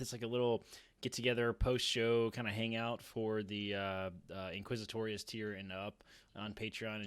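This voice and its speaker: Clear-voiced man chatting casually. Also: fairly low pitch, a bit bright, no room sound. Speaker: podcast co-host. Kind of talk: casual chat. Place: small home room, indoors. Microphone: close desk microphone, headset on.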